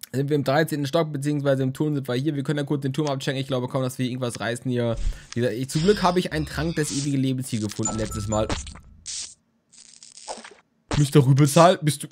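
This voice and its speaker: A man's voice: medium pitch, animated, fast talker, a little hiss or hum. Sleepy young male let's-player, rambling and jokey, casual game commentary at a home desk mic. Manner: rambling, jokey, crude humor. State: tired, just woke from a nap. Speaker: let's play host.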